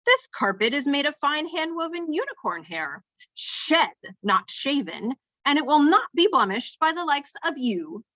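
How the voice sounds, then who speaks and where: A woman's voice: medium pitch, lively intonation, a little slow, few pauses, a bit bright, breathy edge, no echo, full character voice, low-quality recording. A woman voicing a pompous, grudging male talking elevator with a haughty, disdainful drawl. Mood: snooty, offended.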